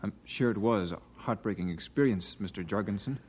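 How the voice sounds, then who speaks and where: A man's voice: on the low side, fairly quick, a little mellow, slightly rough. An actor in a 1950s radio drama playing a polite, sympathetic man who listens to a sad story.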